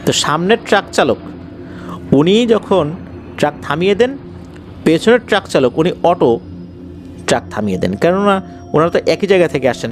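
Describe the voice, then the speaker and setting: A man with a Bangladeshi accent narrates paranormal incidents in a conversational, suspenseful way, explaining and repeating details as he goes. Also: on the high side. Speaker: horror story narrator. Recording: faint music behind the voice. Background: faint background music.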